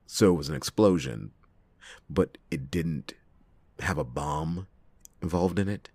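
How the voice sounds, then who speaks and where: A man playing a cynical, reluctant, hard-drinking private detective in a noir ASMR roleplay, with a husky, gravelly voice and sardonic, theatrical delivery. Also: a bass voice, darkish tone, dry recording, exaggerated voice. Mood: exasperated, sardonic. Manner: sardonic, theatrical, halting speech with drawn-out emphasis. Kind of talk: ASMR detective roleplay, acted monologue to listener.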